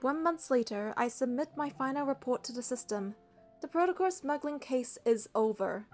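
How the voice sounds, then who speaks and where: A woman's voice: high-pitched, animated, moderate pace, a little mellow, soft background music. A woman voicing a young female hunter and bodyguard, narrating a romance game in an earnest, lightly teasing way. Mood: reflective. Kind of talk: scripted first-person game narration and dialogue.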